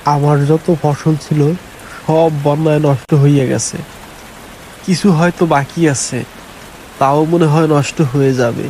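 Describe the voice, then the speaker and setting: A man playing a worried, despairing village farmer and father in an animated folk tale, with a plaintive, lamenting manner. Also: on the high side, a little slow, pauses often, lightly acted, low-quality recording. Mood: pleading. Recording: faint hiss and rain noise.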